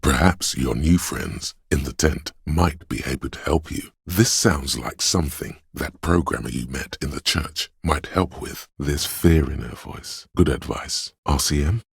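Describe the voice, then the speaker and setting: An actor playing a grave, compassionate inner voice of empathy in a game, narrating in a measured, solemn and tender way. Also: male voice, very deep voice, creaky, husky, no room sound, studio quality, heavily acted. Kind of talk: dramatic game narration, second person.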